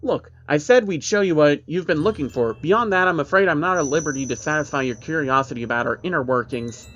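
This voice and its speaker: A man's voice: a little high, brisk, fairly bright tone, highs cut off, lightly acted. A man voicing a guarded, evasive but firm woman from a secretive group in a narrated horror story. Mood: defensive, evasive.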